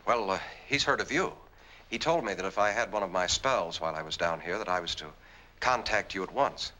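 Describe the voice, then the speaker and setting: Evasive patient, 1940s film. Forceful tone under hesitant courtesy, slightly low voice. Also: male voice, lively intonation, a little fast. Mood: guarded. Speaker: actor. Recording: faintly noisy 1940s film soundtrack.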